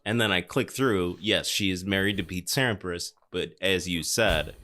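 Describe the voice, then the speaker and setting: A man's voice: low-pitched, normal speed, sharp tone. A man hosting a podcast, chatting casually about films in a wry, joking, conversational way. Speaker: podcast host. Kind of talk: casual film discussion and show announcements.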